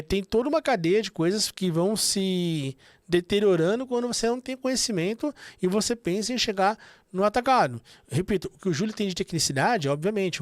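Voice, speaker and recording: A man's voice: sits high, no echo. A man hosting a casual business podcast, explaining things conversationally with frequent fillers. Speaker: podcast host.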